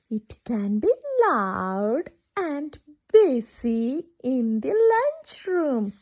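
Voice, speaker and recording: Slow, animated high-voiced woman reading to children. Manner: animated, singsong, asks questions and pauses for children. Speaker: children's storyteller. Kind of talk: interactive picture-book read-aloud with singsong chants.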